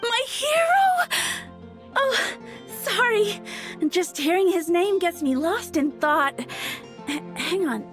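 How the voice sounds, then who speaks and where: A very high, girlish voice a lovestruck flower shop girl in a video game. She is dreamy and easily flustered, lingering over her words, with music clearly behind her. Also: lively intonation, slow pace, fairly bright tone, heavily acted. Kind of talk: English video game voice acting.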